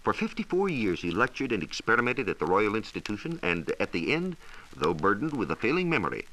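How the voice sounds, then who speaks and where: An American man presenting a scripted 1940s educational radio science talk with measured, emphatic storytelling. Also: lowish voice, sing-song, pushed and bright, poor audio. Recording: hissy 1940s radio transcription recording.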